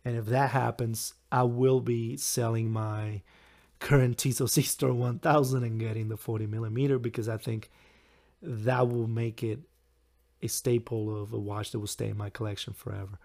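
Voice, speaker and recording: A young man with a hoarse, recovering voice, hosting a solo podcast in a rambling, halting way with frequent chuckles. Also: medium pitch, darkish tone. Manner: chuckles often. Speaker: podcast host. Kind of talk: casual solo podcast talk.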